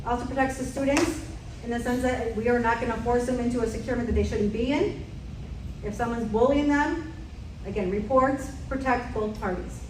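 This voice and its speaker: A woman's voice: fairly high pitch, steady tone, a little fast, background noise. Woman leading a safety quiz, echoey. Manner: direct, quizzing the room. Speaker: safety trainer. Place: meeting room. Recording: echoey room from far off.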